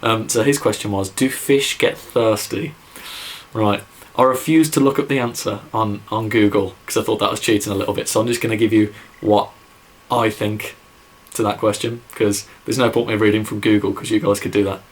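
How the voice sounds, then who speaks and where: Rambling young English guy, lowish voice. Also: steady tone, moderate pace. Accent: English Midlands. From England, near Birmingham. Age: young adult. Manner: rambling, lots of fillers and "like", says so himself. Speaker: YouTube musician answering viewer questions. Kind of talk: casual vlog Q&A.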